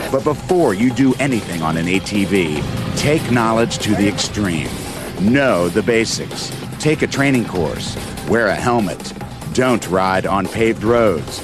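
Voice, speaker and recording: A man voicing a scripted public service safety announcement in a punchy promo read. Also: on the low side.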